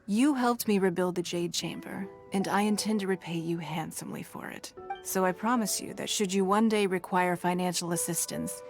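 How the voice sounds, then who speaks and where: A woman voicing a shrewd, wealthy female city leader who speaks in a measured, authoritative, formal way. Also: on the low side, gravelly. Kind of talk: game cutscene voice acting.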